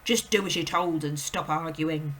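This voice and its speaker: A woman's voice: on the low side, steady tone, normal speed, pushed and bright, low-quality recording. A woman voicing a bossy, impatient middle-aged father in a storybook read aloud, barking curt orders. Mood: gruff, snapping. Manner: curt, snapping, barked orders.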